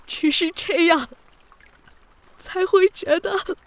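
An actress playing an elderly widowed granny in a radio drama, crying and pleading apologetically. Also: female voice, extremely high pitch, slow pace, heavily acted. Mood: tearful pleading. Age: older. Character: tearful widowed granny confessing and begging forgiveness.

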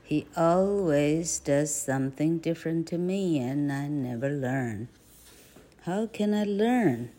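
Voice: mid-range voice, lively intonation, takes it slowly. A teacher doing a scolding old aunt. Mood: fretful. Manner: raises voice to shout and scold. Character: scolding old aunt, exasperated but loving. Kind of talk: reading a storybook aloud.

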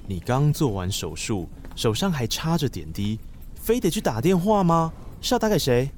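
A man's voice: mid-range voice, big pitch swings, normal speed, a little mellow, close to an everyday voice. A man acting a worried middle-aged father in an audio drama. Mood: worried scolding. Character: worried, scolding father of a student. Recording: noisy ambience behind.

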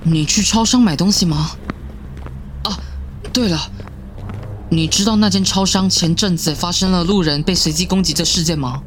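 Lowish-voiced friendly student girl played by a woman. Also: normal speed, long pauses, slight background noise, natural voice. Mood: gossipy. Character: friendly, chatty young female classmate. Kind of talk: radio drama acting.

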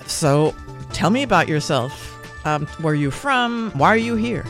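A woman's voice: sits low, expressive, a little slow, soft background music. A middle-aged woman hosting a friendly radio interview, enthusiastic and full of exclamations and small fillers. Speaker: radio show host and English department chair.

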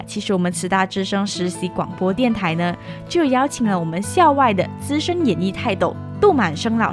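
A young woman hosting a student radio interview in a chatty way, readily acknowledging what is said. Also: mid-range voice. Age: young adult. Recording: music bed behind the voice. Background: background music.

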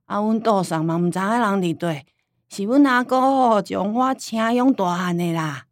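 A woman's voice: medium pitch, normal speed, no echo, clean studio sound, close to an everyday voice. A woman acting a stubborn old Taiwanese grandmother. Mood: wistful, reminiscing. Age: older. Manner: rambling, folksy storytelling with sighing interjections. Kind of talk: radio drama acting in Taiwanese Hokkien.

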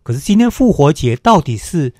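A man's voice: on the high side, very animated, fairly quick, mellow, no room sound, clean studio sound. A man co-hosting a conversational radio show in an agreeable, responsive way. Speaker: radio co-host.

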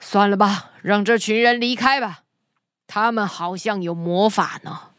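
A woman voicing a proud fairy-tale king who makes measured, formal pronouncements in a children's story. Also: medium pitch, a bit bright, smooth, no echo, studio quality, voice pushed down, natural voice. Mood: resigned.

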